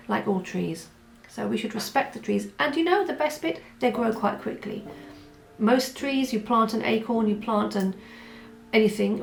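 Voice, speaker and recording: A woman who is hoarse from a cold, vlogging in a chatty, rambling way with many asides. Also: medium pitch. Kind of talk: casual chat. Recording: roomy echo with faint music.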